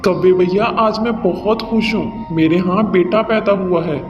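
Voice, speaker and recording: A man's voice: high-pitched, normal speed, muffled recording, lightly acted. A man voicing a harsh, domineering father bird in a moral-story cartoon, with a scolding, boastful way of talking. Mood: elated, boastful. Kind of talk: Hindi cartoon voice acting. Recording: reverberant hall sound over music.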